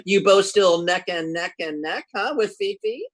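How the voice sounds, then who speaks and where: A man's voice: sits high, normal speed, natural voice. A man playing a boastful, absent-minded husband in old-time radio comedy, stammering through his folksy bluster. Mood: teasing. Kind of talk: classic 1940s radio comedy acting.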